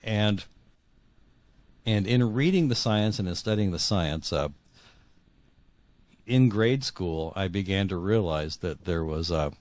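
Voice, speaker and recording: Male, 60s, deep voice, dry sound. Thoughtful author guest, conversational, searches for words as he goes. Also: darkish tone, no room sound. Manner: earnest, halting, repeats words as he searches for phrasing. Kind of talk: reflective conversational interview.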